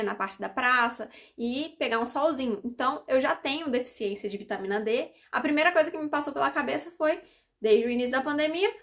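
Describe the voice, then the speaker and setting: Young Brazilian woman, high pitch, casual and talkative to camera. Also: fairly bright tone. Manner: chatty, explanatory, frequent asides to viewers. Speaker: vlogger.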